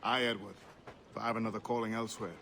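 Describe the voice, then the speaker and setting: A man's voice: on the low side, flat delivery, vocal fry, sharp tone, slight background noise. Solemn, principled male shipmate turned assassin. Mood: resolute. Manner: formal, deliberate, reproachful. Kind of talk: dramatic video game cutscene acting. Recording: background music under the dialogue.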